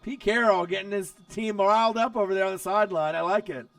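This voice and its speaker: A man hosting a casual live stream while watching football, chatty and excitable, his voice hoarse from losing it. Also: high-pitched, fast talker, dry recording, distant mic. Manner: excitable, chatty, talks straight to viewers.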